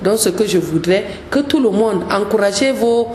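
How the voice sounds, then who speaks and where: A woman's voice: mid-range voice, animated, moderate pace, darkish tone, reverberant, slight background noise. A woman, a gospel singer speaking earnestly as a radio guest, often repeating words as she searches for them. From Cameroon. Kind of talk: radio interview.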